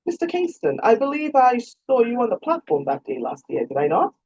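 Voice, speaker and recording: A woman reading as a courteous, sharp-minded older captain with a formal, stately manner. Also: a little high, wildly swooping pitch, fairly quick, husky, pitched above the performer's own voice, exaggerated voice. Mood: curious. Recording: roomy, dull lo-fi sound.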